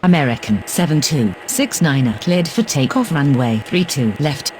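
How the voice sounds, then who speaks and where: A man's voice: on the high side, big pitch swings, normal speed, a little mellow. A man playing a businesslike air traffic radio operator in a game, giving formal, scripted calls. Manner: formal, procedural. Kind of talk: clipped, scripted radio phraseology.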